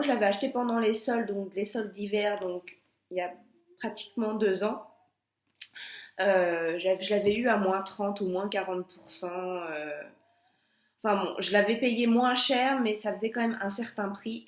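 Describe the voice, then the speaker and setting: A woman's voice: medium pitch, fairly even intonation, moderate pace, echoey room. A young woman vlogging casually with a stuffy, nasal voice from a cold, talking in a chatty way with many hesitations and restarts. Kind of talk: casual chat.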